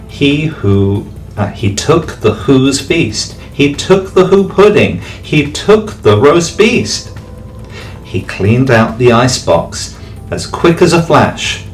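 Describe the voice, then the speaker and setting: A man, a teacher, reading a rhyming bedtime story aloud with expressive delivery. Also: mid-range voice. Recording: distant mic, faint background music.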